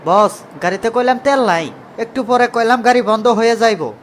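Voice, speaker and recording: A very high-pitched cartoon henchman. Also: normal speed, a little hiss or hum. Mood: cheeky complaining. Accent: Bangladeshi colloquial Bengali. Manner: literal-minded, back-talking. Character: dim, cheeky male getaway driver henchman. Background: music.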